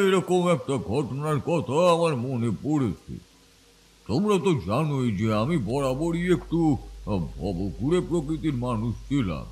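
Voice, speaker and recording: A man acting in an audio story as a proud, wandering tantric elder who tells of his past with emphatic, measured authority. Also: mid-range voice, a little mellow, natural voice. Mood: reminiscing.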